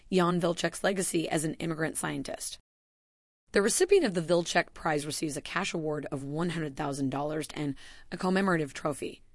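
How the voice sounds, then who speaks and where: A woman with a low, deep voice reads a formal announcement in an even, measured way. The sound is close and dry, with no room around it. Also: dry recording. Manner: even, formal read-aloud delivery. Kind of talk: scripted announcement narration.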